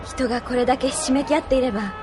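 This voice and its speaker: A woman's voice: high voice, racing, background noise, close to an everyday voice. An actress playing a polite, earnest young woman in an anime audio drama. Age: young adult.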